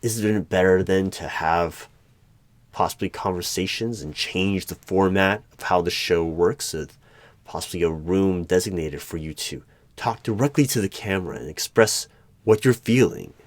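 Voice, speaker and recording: A man playing an eerie, probing hiring manager in a one-sided ASMR interview roleplay, speaking deliberately with pauses between questions. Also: sits low, dry recording. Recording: background noise with keyboard typing and clicks. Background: computer keyboard typing.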